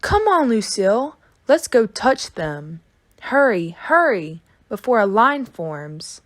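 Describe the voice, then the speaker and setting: A woman voicing an excitable, dramatic first-grade girl in a storybook, gushing with eager, childlike exclamations. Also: a little high, slow. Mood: eager, urgent. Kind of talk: children's storybook read-aloud.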